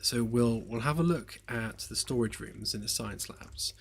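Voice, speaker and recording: A slightly hoarse man at a desk mic, talking his way through game development work on a live stream. He rambles with plenty of ums and small self-deprecating jokes, voice at an ordinary middle pitch, with a faint hum of noise behind him. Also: moderate pace. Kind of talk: relaxed live-stream chat while working. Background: faint lo-fi background music.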